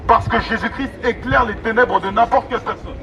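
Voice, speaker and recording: A man's voice: extremely high pitch, fairly quick, gravelly, a faint crowd. A man street evangelist giving an exhorting, repetitive open-air sermon in emphatic phrases through a megaphone. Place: outdoors in an urban public street. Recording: dull, distant megaphone sound, loud street noise. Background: traffic and city ambience.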